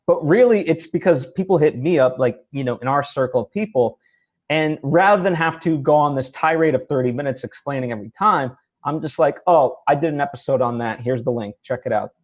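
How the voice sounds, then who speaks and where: A man hosting a casual, reflective interview, talking in a halting way and repeating words as he reasons. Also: a little high, lo-fi sound. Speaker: interview host.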